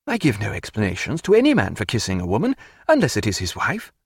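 Man playing a defiant, cutting gentleman, husky voice. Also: fairly low pitch, huge pitch swings, quick pace, no room sound, studio quality, full character voice. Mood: cold defiance. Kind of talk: dramatised audiobook character voice.

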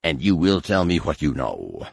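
A man voicing a cold, cruel one-eyed male warrior who speaks in a low, hissing, threatening way. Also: big pitch swings, normal speed, vocal fry, breathy edge, no echo, studio quality, full character voice. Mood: menacing.

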